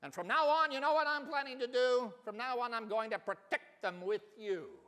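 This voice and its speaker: Man putting on a high, earnest storybook kangaroo, echoey hall. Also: normal speed, sharp tone. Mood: won over, earnest. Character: big kangaroo, doubter turned protective convert. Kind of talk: dramatic storybook reading in rhyme. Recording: reverberant hall.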